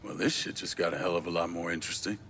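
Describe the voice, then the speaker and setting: A tough game protagonist, he has a notably low voice. He talks bluntly and gets to business, cursing as he goes, over faint background noise. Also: fairly even intonation, rapid-fire, fairly bright tone. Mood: focused. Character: tough, blunt, foul-mouthed man of action. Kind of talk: video game cutscene acting.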